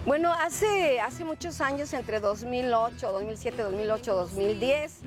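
A woman's voice: on the high side, unhurried. A woman from Oaxaca, Mexico, whose first language is Zapotec, answering interview questions earnestly and reflectively, with frequent restarts. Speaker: guest musician and traditional healer. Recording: music playing underneath.

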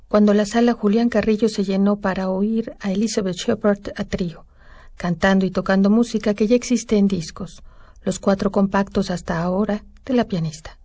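A woman's voice: mid-range voice, dark tone, no echo. A woman reading a scripted introduction as a radio presenter.

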